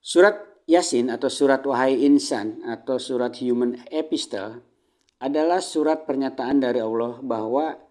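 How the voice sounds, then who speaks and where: A man with an Indonesian accent giving an Islamic sermon as a prepared lecture, teaching in measured phrases and often clearing his throat. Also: mid-range voice, dark tone. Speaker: religious lecturer.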